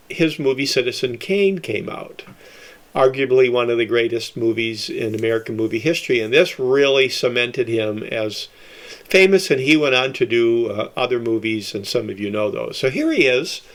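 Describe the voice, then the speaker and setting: A man presenting an informal history talk in a conversational way, with folksy asides. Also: medium pitch. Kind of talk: informal history lecture with slides.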